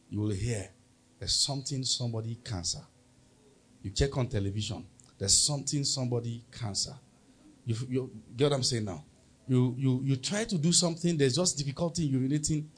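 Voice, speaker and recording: Man, Nigerian accent, pastor, husky voice, emphatic sermon, call-and-response. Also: mid-range voice.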